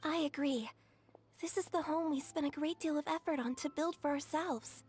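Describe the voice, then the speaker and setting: High-voiced, earnest villager woman played by a woman. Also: normal speed, a little mellow, slight background noise, close to an everyday voice. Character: earnest, contented woman villager defending her dream home. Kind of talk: scripted video game dialogue acting.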